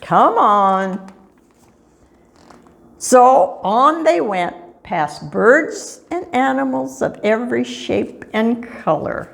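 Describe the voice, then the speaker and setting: An older woman reading a children's story aloud in an expressive, deliberate storytelling manner. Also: fairly high pitch, creaky. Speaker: storybook reader.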